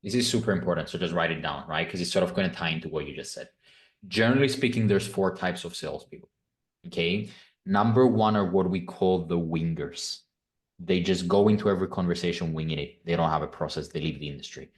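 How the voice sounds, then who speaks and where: A man's voice: low voice, brisk, reverberant. A man with a Greek accent running a live sales call, coaching and leading with questions, often checking in with "right?". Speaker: sales closer.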